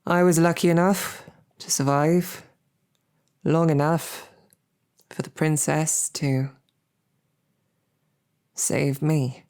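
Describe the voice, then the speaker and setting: An actress playing a smitten lowborn royal guard in an audio roleplay, with a halting, self-deprecating, earnest way of speaking. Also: female voice, steady tone, darkish tone. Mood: somber. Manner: halting, self-deprecating, colloquial tag questions. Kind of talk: scripted audio roleplay, halting heartfelt banquet speech.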